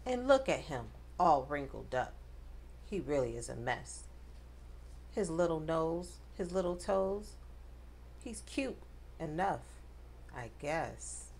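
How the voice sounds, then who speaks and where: Low-voiced, lively woman reading to children. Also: takes it slowly, vocal fry, long pauses, slight background noise. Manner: addresses children directly, dramatic emphasis on rhymes. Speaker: host reading picture books aloud to children. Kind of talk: expressive children's read-aloud.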